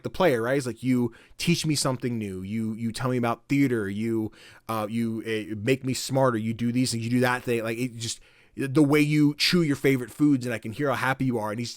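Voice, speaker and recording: A young man chatting on a gaming podcast, voice at an ordinary middle pitch. He gets worked up, curses freely, and jumps into higher voices to act out lines from games. The sound is dry and close, with no room around it. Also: no echo. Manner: excited, swears a lot, acts out game dialogue in raised voices. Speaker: podcast co-host. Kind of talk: casual podcast chat.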